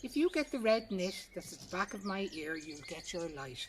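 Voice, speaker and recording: Clear noise and birdsong lie behind a firm, bargaining old hag, played by a woman storyteller. She speaks plainly in a lowish Irish voice, a secretive helper out of a folk tale. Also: sing-song, moderate pace.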